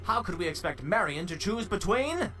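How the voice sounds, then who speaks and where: Man as a high, animated huckster pony. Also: moderate pace, faint music behind, exaggerated voice. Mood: conciliatory, grand. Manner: theatrical, grandiose. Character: showy, stubborn con-artist pony brother. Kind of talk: fan comic dub character acting.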